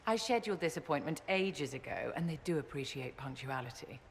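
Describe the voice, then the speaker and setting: A woman's voice: deep voice, animated, brisk, slight background noise. An actress playing a pampered, haughty noblewoman who speaks in an arch, condescending drawl. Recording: reverberant game audio with long echo.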